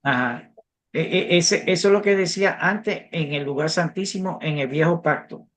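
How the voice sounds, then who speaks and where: A man's voice: fairly high pitch, moderate pace, a bit bright. A man whose first language is English, teaching a Bible class in Spanish learned with a Cuban accent. He talks earnestly and repeats words while he searches for them. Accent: English-speaking, Cuban-learned Spanish. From United States. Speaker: theology teacher. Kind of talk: interactive online Bible class.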